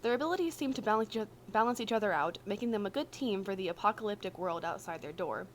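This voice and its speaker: A woman's voice: medium pitch, animated, fast talker. Woman reading aloud, noisy amateur recording. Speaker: podfic reader. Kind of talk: expressive fan fiction narration.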